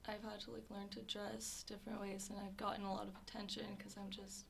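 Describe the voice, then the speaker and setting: A teenage girl answering interview questions softly, leaning heavily on "like" and other fillers. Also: medium pitch, monotone, fast, vocal fry, a little hiss or hum. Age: teens. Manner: soft-spoken, filler-heavy with frequent "like". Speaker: interview guest. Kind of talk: casual interview.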